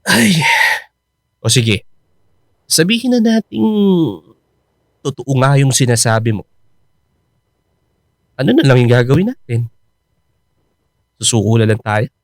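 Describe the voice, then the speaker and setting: Animated, earnest young Filipino boyfriend. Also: male voice, medium pitch, slow pace, long pauses, no echo, studio quality. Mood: exasperated. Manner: pleading, coaxing. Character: young man, earnest boyfriend, reassuring yet exasperated. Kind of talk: Filipino radio drama acting.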